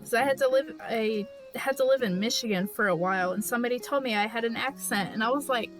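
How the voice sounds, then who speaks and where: A woman's voice: on the high side, fast talker, faint music behind, low-quality recording. A woman from California chatting casually with viewers while drawing on a live stream, rambling with lots of fillers and restarts. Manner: rambling and chatty, with restarts and frequent "like" and "you know".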